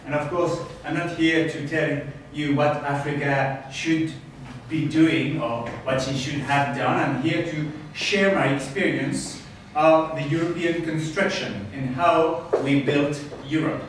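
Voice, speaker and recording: A man interpreting a speech into English, speaking quickly and plainly with a few fillers. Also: on the high side, fairly even intonation, a little hiss or hum. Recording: echoey room from far off.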